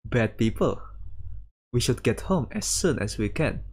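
A man streaming a visual novel, reading aloud and commenting casually in a halting way. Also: medium pitch, big pitch swings, darkish tone. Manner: halting, breaks off to react to the story. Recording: faint music behind the voice.

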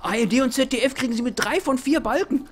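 A man's voice: very high voice, fast, slight background noise. A man playing a pushy, overeager, desperate estate agent with theatrical, gushing sales patter in comedic game fan fiction. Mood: gushing sales pitch.

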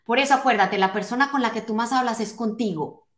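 A woman's voice: a little high, brisk, fairly bright tone. A woman of forty-nine giving an emphatic motivational talk as a coach, addressing listeners directly with rhetorical questions and check-in tags. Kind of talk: motivational talk to an online live audience. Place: small home office, indoors. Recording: roomy, echoing indoor sound.

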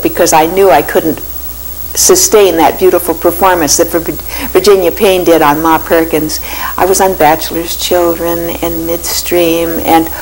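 Older female radio veteran, interview recollection, lowish voice, faint tape hiss, lapel mic indoors. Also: rough recording. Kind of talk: interview reminiscence. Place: indoors, photo-lined room. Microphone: clip-on lapel.

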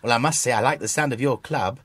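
A man's voice: medium pitch, fast, a bit bright, slightly rough, no echo. An actor with a British accent playing a shifty, over-polite man who poses as a friendly bird lover in a children's audio drama. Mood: affably curious.

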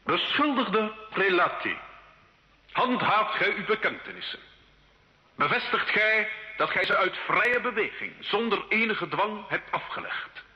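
A man's voice: sits high, lively intonation, a little slow. An actor in an old radio drama playing a stern, solemn church inquisitor, formally declaiming his accusations. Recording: hall-like echo, dull old radio recording.

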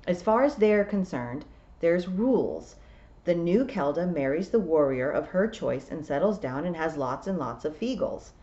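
A woman's voice: fairly low pitch, expressive, normal speed, dark tone, lo-fi sound, lightly acted. A woman voicing a talking toad with a dry, wry manner.

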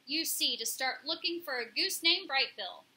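A woman performs a streetwise female pigeon from a storybook, bold and bossy. The voice is high and very bright, leaping around with emphatic, punchy delivery as she takes charge of her flock. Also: animated, moderate pace, exaggerated voice.

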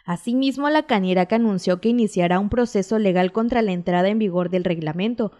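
Female news reporter, mellow tone, steady formal voice-over. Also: mid-range voice, fairly quick. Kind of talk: scripted news narration.

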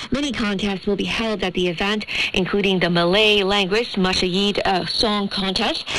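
A woman's voice: medium pitch, fairly bright tone. Female newsreader, mid-range voice, scripted bulletin, shortwave radio reception. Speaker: radio news presenter. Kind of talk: scripted news reading. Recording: noisy shortwave radio broadcast.

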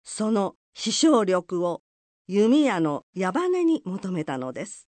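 A woman narrating an old folk legend in a formal, polite and expressive storytelling manner. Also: medium pitch, takes it slowly, no echo.

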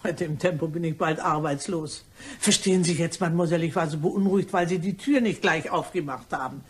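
A woman's voice: low-pitched, brisk, slightly rough. A woman playing a gossipy, blithely indiscreet cleaning woman in a German crime radio play, telling her tales in a chatty, dramatic way. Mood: alarmed, fretting.

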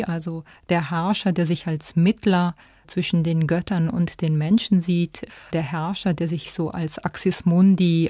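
A woman speaks as an expert, explaining in interview style. Her voice is mellow and the recording is very dry. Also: fairly low pitch, no echo.

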